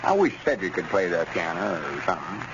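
An actor playing a scheming, self-important older storekeeper with a folksy, grumbling rural Arkansas drawl in an old radio comedy. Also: male voice, sits low, very animated, a little fast. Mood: disgruntled. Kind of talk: 1930s-40s radio comedy acting. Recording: muffled, noisy old radio recording.

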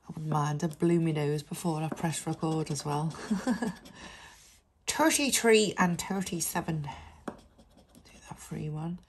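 A woman talks slowly and casually while she scratches cards. Her voice is a little low and sounds blocked up from a cold. She reads out numbers and letters one by one. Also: a little hiss or hum. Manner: slow, chatty, reading out numbers and letters. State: congested with a cold. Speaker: scratch card vlogger. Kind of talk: casual narration over scratch card video.

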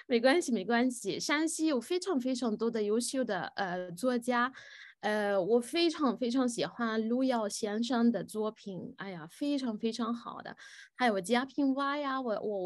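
A woman fields audience questions, talking slowly and hesitantly with many pauses and fillers. Her voice sits a little high. The recording is close and dry with no room sound. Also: no room sound. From Egypt. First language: Arabic. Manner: switches between Chinese and English. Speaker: guest speaker answering audience questions. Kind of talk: live Q&A at a student forum.

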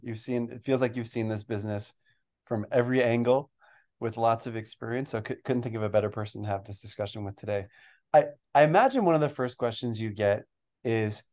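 A man's voice: medium pitch, fairly quick, a little mellow. Man hosting a webinar. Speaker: webinar host. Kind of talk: presenting and interviewing in a webinar.

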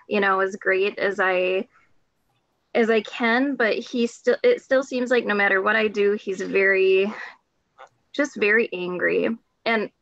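A woman's voice: mid-range voice, fairly bright tone, no room sound. A woman from the United States speaking casually as a participant in an online group class. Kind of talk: casual introduction on a group call. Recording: dull, muffled video-call audio.

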